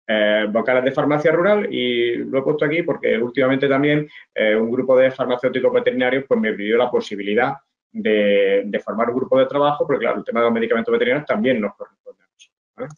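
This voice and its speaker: A man's voice: medium pitch, fast talker, phone-quality sound. Middle-aged man talking over slides on a webcam. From Spain. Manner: hesitant, many fillers and restarts, explaining to students. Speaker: pharmacist giving a webinar talk. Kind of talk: informal online presentation over slides. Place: indoors at a desk. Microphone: webcam video call.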